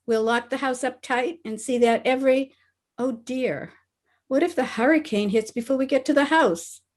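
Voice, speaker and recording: Scatterbrained teen schoolgirl played by a woman: high-pitched, naive, excitable, vintage radio sitcom style. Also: moderate pace. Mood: excited, anxious. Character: earnest, scatterbrained teenage schoolgirl, principal's daughter. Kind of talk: old-time radio comedy acting. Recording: remote video-call recording.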